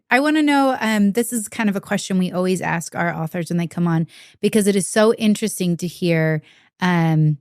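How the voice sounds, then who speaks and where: A woman's voice: mid-range voice, no room sound, studio quality. Enthusiastic woman podcast host. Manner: enthusiastic, warm questions. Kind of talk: casual interview chat.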